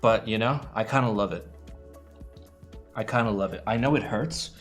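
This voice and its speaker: A man's voice: fairly low pitch, normal speed, soft background music. A man in young adulthood, casually chatting with his live-stream viewers, rambling and swearing freely with playful groans. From United States. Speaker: keyboard streamer.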